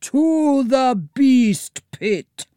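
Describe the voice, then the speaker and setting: A woman voicing a pompous, menacing male lord of thieves with a booming Eastern European accent, in a storybook reading. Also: sing-song, very slow, clear, resonant tone, no room sound, studio quality, exaggerated voice. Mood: commanding. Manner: pompous, theatrical.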